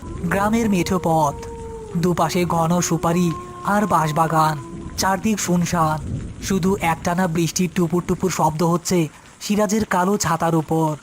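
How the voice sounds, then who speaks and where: A storyteller narrates a scripted horror tale. The voice sits at a middle pitch, with music clearly playing underneath. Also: steady tone, measured pace.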